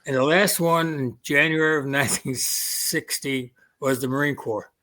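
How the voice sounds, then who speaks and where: A man's voice: fairly high pitch, steady tone, moderate pace, bright, forceful tone. A man in his eighties with a Queens New York accent, German-born with German as his first language, reminiscing in a casual interview and quoting his family's words. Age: 80s. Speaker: veteran guest.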